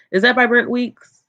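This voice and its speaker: Laid back book streamer, calm low voice, lots of ums and laughs, kinda dull lo-fi mic. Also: vocal fry. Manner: relaxed and chatty, laughs often, trails off with ums. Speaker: book channel host. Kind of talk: casual livestream chat, reading out viewer comments.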